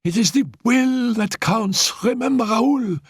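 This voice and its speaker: A man's voice: a little slow, husky, dry recording, studio quality, full character voice. A man voicing a dying young woman in an audiobook, breathless and coughing, defiant and imperious, laughing between pleas and commands. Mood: feverish, defiant. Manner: high-pitched, laughing, pleading and commanding. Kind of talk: audiobook character reading.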